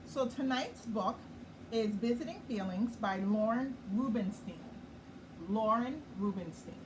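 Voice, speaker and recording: Woman reading a bedtime picture book, slow and gentle, distant mic with steady background noise. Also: a little high. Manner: slow, gentle, explains words for children. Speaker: children's story host.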